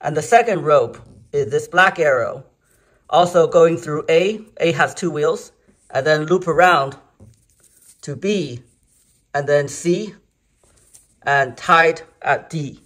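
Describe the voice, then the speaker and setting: A man slowly and methodically explaining a garage hoist install, step by step. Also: on the high side, fairly bright tone. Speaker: DIY instructor. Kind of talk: step-by-step how-to narration. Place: home garage.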